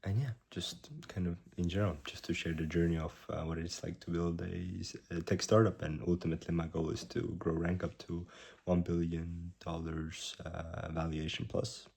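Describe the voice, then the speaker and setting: A man in his twenties, a startup founder, recording a rambling video diary at a hushed volume while slightly sick with a cough. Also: deep voice, little pitch movement, gravelly, slightly rough. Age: 20s. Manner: rambling, halting, self-correcting; keeps his voice down.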